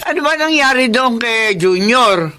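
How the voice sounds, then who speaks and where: A woman's voice: a little high, animated, measured pace, bright, forceful tone. A woman playing a sympathetic, chatty neighbour in a Filipino radio drama. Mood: sympathetic, curious.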